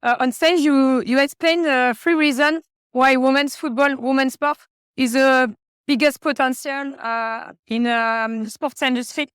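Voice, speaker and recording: A woman with a French accent asking interview questions haltingly, with many filler sounds, at a conference venue. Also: sits high, fairly even intonation, a bit bright, clear, resonant tone, no echo, studio quality. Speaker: podcast host, interviewer.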